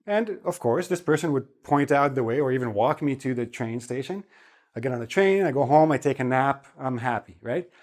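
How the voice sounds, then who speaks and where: Male English teacher, mid pitch, loose conversational delivery with frequent check-ins. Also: normal speed. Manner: relaxed, chatty, repeats "okay?" to check in. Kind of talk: spoken English lesson.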